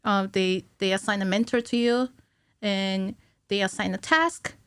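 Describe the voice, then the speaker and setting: A woman's voice: mid-range voice, steady tone, a little slow, fairly bright tone, clean tone, no echo. Woman chatting, close dry studio sound. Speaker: podcast guest, animator. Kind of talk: casual interview chat. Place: small indoor podcast studio. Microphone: close studio mic on a stand.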